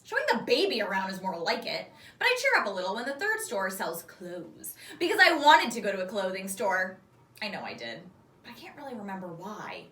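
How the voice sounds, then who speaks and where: A woman teacher reading a children's story aloud in an expressive, animated way. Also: mid-range voice, a little fast. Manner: animated, acts out the dialogue. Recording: roomy echo, distant microphone.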